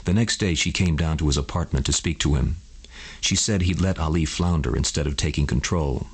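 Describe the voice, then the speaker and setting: A man with a husky voice narrating a scripted bedtime story for adults. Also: very deep voice, a little fast.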